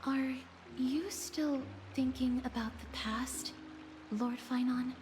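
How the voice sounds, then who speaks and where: A woman playing a gentle, solemn young fantasy heroine in a video game, softly reassuring in formal, measured speech. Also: high-pitched, fairly even intonation, faint music behind. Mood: calm, knowing. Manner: soft, measured, formal and courteous. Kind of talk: video game voice acting, dramatic dialogue.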